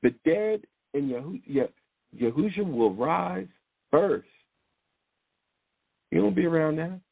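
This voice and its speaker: A man's voice: a little high, animated, takes it slowly, creaky, breathy edge, dry recording. A man preaching informally in a rambling, blunt way, often repeating words and restarting. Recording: tinny phone-like sound, dry.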